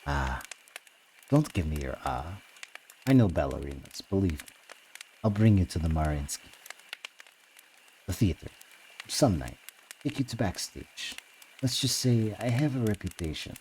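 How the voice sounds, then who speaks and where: A man performs a swaggering young Russian man from an audiobook, with a very deep voice. He is cocky and theatrical, bragging and teasing as he goes, over faint background noise. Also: sing-song, unhurried, long pauses, a little mellow, poor audio. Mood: boastful. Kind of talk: acted audiobook dialogue.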